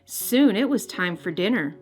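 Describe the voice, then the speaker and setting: A woman's voice: medium pitch, expressive, a little slow, a little mellow. Woman reading a children's storybook, music behind. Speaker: host and storybook reader. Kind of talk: children's read-aloud narration.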